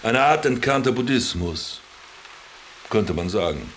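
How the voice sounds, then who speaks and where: A man's voice: lowish voice, lively intonation, unhurried, a little hiss or hum. An actor playing a world-weary exiled man in a radio drama, dryly ironic and formal, looking after his companion. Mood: dry, sardonic. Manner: dry, ironic, formal address. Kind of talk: German radio drama dialogue.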